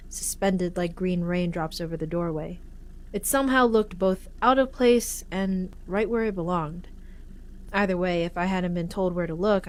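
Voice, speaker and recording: A woman's voice: lowish voice, natural voice. A woman playing a guarded young tech tinkerer in an audio drama, casual in dialogue and in first-person narration.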